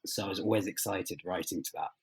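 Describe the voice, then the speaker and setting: A man's voice: deep voice, breathy edge. A man answering interview questions as a writer, thoughtful and hesitant, often rephrasing as he goes. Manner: hesitant, halting phrasing with many trailing rephrasings.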